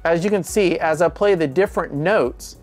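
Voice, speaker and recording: A man with a slightly creaky voice, explaining step by step as host of a tech tutorial. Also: fairly high pitch, normal speed, soft background music.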